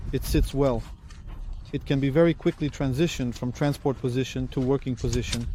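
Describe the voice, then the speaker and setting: A man's voice: mid-range voice, mellow, a little hiss or hum. A man giving a hands-on product review and demonstration, explaining plainly and step by step as the presenter. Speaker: presenter reviewing radio equipment.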